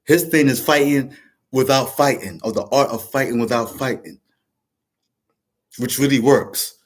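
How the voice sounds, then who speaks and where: Male vlog host, mid-pitched, rambling and repetitive with many restarts. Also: a little slow. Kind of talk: casual motivational monologue.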